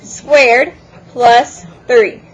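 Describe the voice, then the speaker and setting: A woman teaching math to a classroom, brisk and teasing as she walks students through problems. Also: fairly high pitch, steady tone, husky. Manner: brisk, teasing, talks over a noisy class. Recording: noisy classroom chatter. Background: students chattering.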